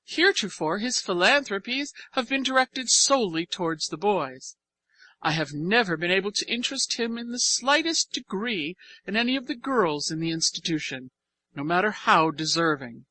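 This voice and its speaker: A woman voicing a self-important orphanage matron, formal and measured, in an audiobook. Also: mid-range voice, lively intonation, bright, forceful tone. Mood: pompous, measured. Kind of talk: audiobook character voice.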